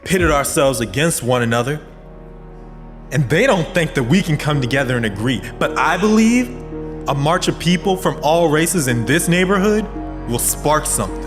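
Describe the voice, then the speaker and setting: An actor playing an earnest American community organizer in an audio drama, rallying and sincere. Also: male voice, on the high side, expressive, moderate pace, music in the background, lightly acted. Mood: impassioned.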